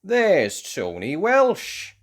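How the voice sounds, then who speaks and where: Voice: medium pitch, wildly swooping pitch, drawn-out words, voice pushed up, exaggerated voice. A storyteller voicing a plain-spoken young schoolboy, easily put out, with emphatic childlike delivery in a picture book. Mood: excited.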